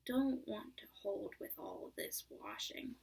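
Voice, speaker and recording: Gloomy old donkey, slow, grumbling, voiced by a woman. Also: medium pitch, huge pitch swings, gravelly, a little mellow, breathy edge, full character voice.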